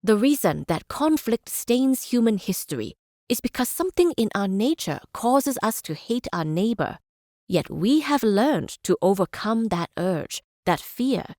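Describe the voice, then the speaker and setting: A dry, close recording of a thoughtful female chancellor in a strategy game. Her mid-pitched voice is formal and deliberate, like a scholar lecturing. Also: darkish tone, no echo. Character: measured, idealistic female scholar-chancellor and stateswoman. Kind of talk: scripted game character speeches and lectures.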